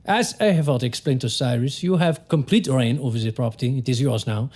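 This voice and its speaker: A man playing a courteous German lawyer in an audio drama, with a German accent and formal, sometimes halting phrasing. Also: medium pitch, darkish tone, lightly acted. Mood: formal, welcoming. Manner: formal, halting word searches.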